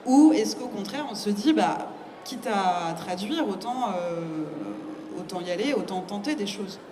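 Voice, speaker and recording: Woman, interviewer, mid-pitched voice, searching conversational phrasing, reverberant hall. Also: expressive, unhurried. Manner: searching, reformulates her questions. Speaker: host, interviewer. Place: public book fair talk. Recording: echoey hall, loud ambient noise.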